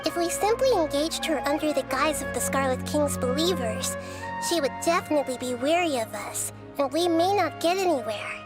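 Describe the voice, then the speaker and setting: A woman voicing a calm, wise young girl deity in a video game, speaking in a measured, explanatory way. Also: high voice, over music. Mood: measured scheming.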